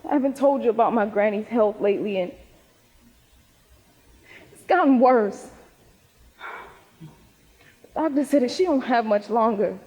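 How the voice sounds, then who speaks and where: High husky young woman, an actress playing her, grieving devoted granddaughter in a stage play, big echoey hall. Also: measured pace, long pauses, a little mellow. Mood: anxious, distressed. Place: theater stage in a reverberant hall.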